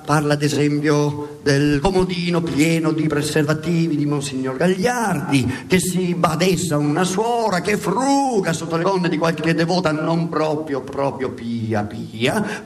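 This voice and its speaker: A man narrating a satirical theatre monologue in an emphatic, ironic way, repeating words for dramatic effect. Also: a little high. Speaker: stage narrator. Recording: hissy radio theatre recording.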